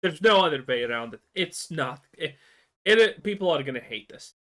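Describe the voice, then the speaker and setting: A man's voice: on the high side, animated, moderate pace, fairly bright tone. A man giving blunt, scornful reaction commentary on a video, ranting casually.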